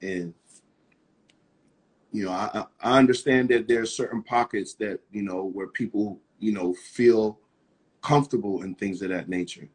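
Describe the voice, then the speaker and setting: A man's voice: on the low side, moderate pace, darkish tone, breathy edge. A man interviewing a guest, supportive and hesitant, with many fillers. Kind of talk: interview talk.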